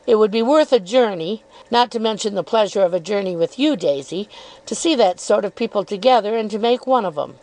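Woman reading as a breezy rich young guy, offhand, gravelly edge. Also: mid-range voice, expressive, a little fast, darkish tone, lightly acted, poor audio. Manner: offhand, indifferent. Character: careless, well-off young man, offhand. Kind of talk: audiobook reading of a novel.

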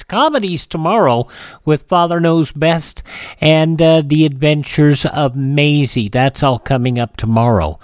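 Man, radio host, relaxed and chatty, slightly high voice, dry close mic. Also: no echo. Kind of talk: casual radio hosting.

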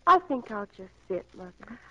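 An actress playing a restless, dreamy young American farm daughter in a 1940s radio play. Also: female voice, medium pitch, sing-song, normal speed, fairly bright tone. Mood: listless, wistful. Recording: muffled 1940s radio broadcast.

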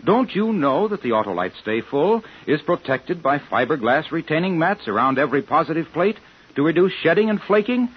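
Male 1950s radio announcer; animated hard sell, emphatic, slightly rough tone, dull vintage recording. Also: fairly high pitch, a little fast. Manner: emphatic, hard-selling, mock-astonished. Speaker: commercial announcer. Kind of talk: 1950s scripted radio sales pitch.

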